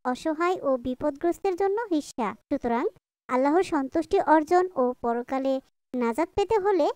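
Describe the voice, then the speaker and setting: High-voiced, kind cartoon mother. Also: darkish tone, no echo. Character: kind, pious mother patiently teaching her child. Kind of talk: Islamic moral cartoon voice acting.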